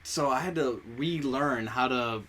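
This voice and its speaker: A man's voice: medium pitch, unhurried, pushed and bright. A young man whose first language is Spanish, co-hosting a podcast in casual chat and rambling through stories while he often corrects himself. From United States. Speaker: podcast co-host.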